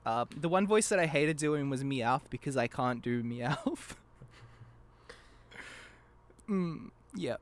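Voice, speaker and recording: A man's voice: medium pitch, animated, slow pace, pauses often. A young man chatting casually as a podcast guest, giggly and self-deprecating. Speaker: podcast guest, amateur voice actor. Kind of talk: casual chat.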